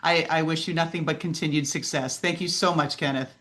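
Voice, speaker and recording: A woman's voice: sits low, fairly even intonation, fairly quick, a bit bright. Woman hosting an interview podcast. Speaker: podcast host. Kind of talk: conversational interview.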